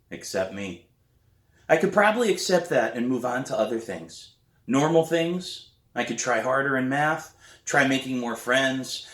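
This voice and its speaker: A man reading a novel aloud as its author, with expressive, deliberate and dramatic storybook narration. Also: mid-range voice, reverberant.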